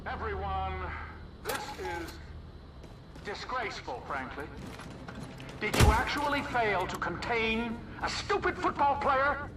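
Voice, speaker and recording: High-pitched, pompous commander. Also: male voice, animated, takes it slowly, pauses often, very noisy. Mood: scornful anger. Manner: pompous, sneering. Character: menacing, theatrical Nazi commander and former dentist. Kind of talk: video game villain acting.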